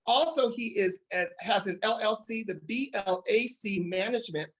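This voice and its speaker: A man hosting a talk show in an upbeat, excited and emphatic way, addressing his audience directly. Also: high voice, moderate pace.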